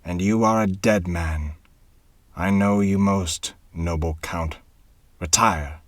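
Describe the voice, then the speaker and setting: A man voicing a shrewd dwarf guardian in a storybook reading, calm, firm and menacing. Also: low-pitched, slow, no echo.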